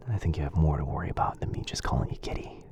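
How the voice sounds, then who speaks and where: Whispering, low-voiced man in soft ASMR roleplay. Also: steady tone, rapid-fire. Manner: soft, soothing, hushed. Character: kind, caring, smitten young male wizard.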